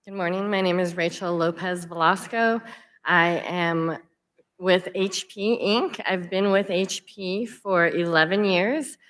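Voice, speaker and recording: A woman on a conference panel introduces herself with a slightly low voice. She talks in a friendly, conversational way with some fillers. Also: fairly even intonation, measured pace, fairly bright tone. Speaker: panelist, sales account executive. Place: conference hall.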